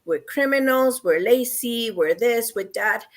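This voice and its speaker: A woman's voice: fairly high pitch, animated, a little slow. A woman from Mexico with a Mexican accent, a parent guest on a panel, speaking earnestly and emotionally with many ums and repeated phrases. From Mexico, El Bajío region. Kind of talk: heartfelt panel discussion.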